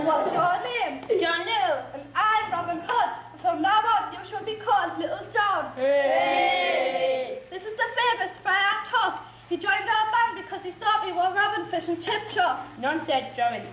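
Young performers in a school pantomime deliver their learned lines loudly in a sing-song way. The voices are very high. The school hall echoes heavily and loud tape hiss runs underneath. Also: female voice. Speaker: child actor in a school pantomime. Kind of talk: amateur pantomime acting.

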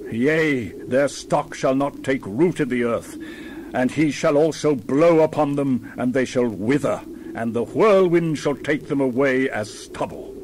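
A man's voice: mid-range voice, a little slow, darkish tone, a little hiss or hum. A man reading the Bible aloud in a dramatized style, with solemn, theatrical, emphatic declamation. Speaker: Bible reader.

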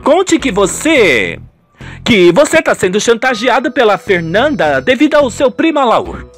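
A man's voice: very high-pitched, huge pitch swings, unhurried, faint music behind, pitched above the performer's own voice, exaggerated voice. A man voicing a scheming, exasperated housekeeper and mistress in a dramatic radio soap. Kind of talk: radio soap opera acting.

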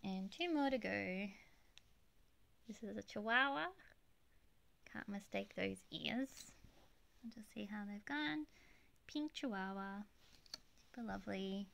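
A woman showing off her plush toy collection in a gushing, chatty way, her voice slightly croaky from being unwell, filmed on a handheld phone in her bedroom. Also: medium pitch, takes it slowly, pauses often. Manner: gushing, chatty, soft giggles. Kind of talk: casual show-and-tell narration. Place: bedroom with plush toys on display. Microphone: handheld phone camera, off-camera voice.

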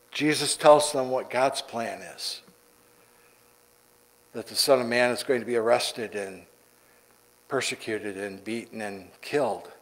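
A middle-aged man preaching a sermon from the pulpit in a church sanctuary, deliberate and repeating words for emphasis. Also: medium pitch, sharp tone, rough recording. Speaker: pastor. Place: church sanctuary, large indoor room.

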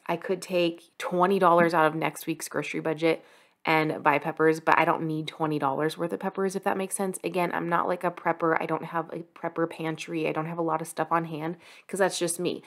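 Woman, young adult, casual kitchen vlogger, slightly low voice, chatty and upbeat. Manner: chatty, upbeat, frequent "like" fillers. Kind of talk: casual money-saving cooking vlog voice-over. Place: home kitchen.

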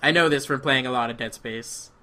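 A man with a bright, forceful voice talks through a co-op video game. He speaks casually, with a joking, rambling manner, like a player talking to a teammate. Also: mid-range voice, brisk. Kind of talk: casual co-op gameplay chat.

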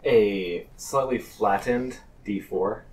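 A man narrating a tabletop role-playing game as game master, improvising his descriptions haltingly. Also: lowish voice, a little mellow. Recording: distant mic with faint noise.

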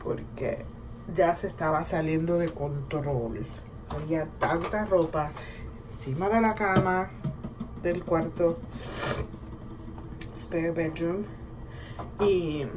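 A woman's voice: sits low, measured pace, long pauses. A woman, chatting casually like a bilingual vlogger while going through a routine. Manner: relaxed, switches between Spanish and English. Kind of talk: casual bilingual vlog, narrating a skincare routine. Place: small indoor bathroom. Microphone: camera or phone at about arm's length. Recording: clearly noisy home bathroom recording.

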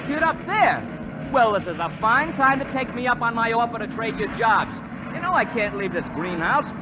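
A man in a 1940s radio drama playing an eager, wisecracking young bomber crewman, excitable and slangy. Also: extremely high pitch, moderate pace. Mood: nervous, strained. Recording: noisy muffled 1940s radio broadcast recording. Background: loud aircraft engine noise.

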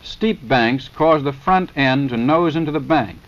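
An American man reading a formal 1930s scripted narration for a training film in a measured, matter-of-fact, instructional manner. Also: medium pitch, lively intonation. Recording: dull, lo-fi 1930s film soundtrack.

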